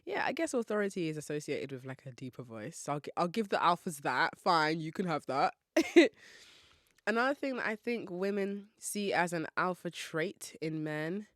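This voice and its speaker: A woman's voice: low voice, expressive, moderate pace, no room sound, clean studio sound. A young woman from hosting a solo podcast in a chatty, playful way, often laughing and asking listeners questions. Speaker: podcast host.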